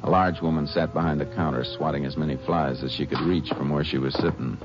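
An actor playing a calm, methodical, kindly Texas Ranger who narrates and acts in an old radio drama. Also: male voice, extremely low pitch, fairly quick, music in the background. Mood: matter-of-fact narration. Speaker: lead and narrator. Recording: muffled, noisy old radio recording.

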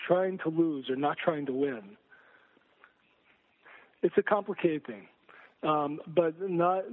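A man giving conversational sports analysis as a guest, searching for words and repeating phrases for emphasis. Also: on the high side. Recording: phone-like narrow-band line.

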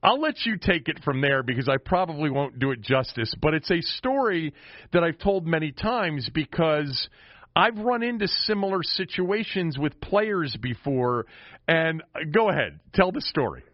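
Animated male radio host, slightly dull sound. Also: on the high side, normal speed, no room sound. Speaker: sports talk host. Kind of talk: casual sports radio conversation.

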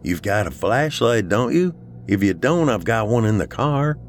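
A man's voice: fairly low pitch, big pitch swings, normal speed, a little hiss or hum, pitched above the performer's own voice. A man playing a worried, loyal friend in a horror story, earnest and colloquial, easily exasperated. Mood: uneasy, determined. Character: worried, loyal male friend, rattled but practical. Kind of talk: audiobook character dialogue, horror story.

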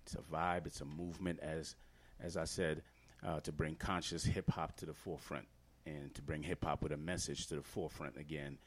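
A man's voice: a bass voice, level tone, brisk, few pauses. A man from Trinidad and Tobago, a guest hip-hop artist, explaining earnestly in a casual podcast interview with many fillers.

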